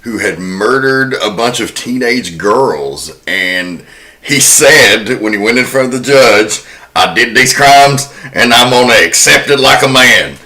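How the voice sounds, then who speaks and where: A man's voice: medium pitch, animated, a little slow, bright, forceful tone. A man hosting a podcast, casually explaining film history in an informal, sometimes profane way. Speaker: podcast host.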